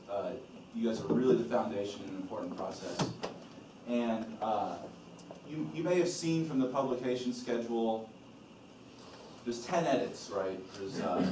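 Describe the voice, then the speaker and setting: A man from Illinois, a law review editor-in-chief, leading an orientation in a relaxed, loose way with lots of "uh" and "um". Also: medium pitch, slight background noise. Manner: relaxed, loose delivery with frequent "uh" and "um". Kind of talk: casual spoken orientation talk. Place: meeting room with a group of students. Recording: roomy echo, voice from a distance.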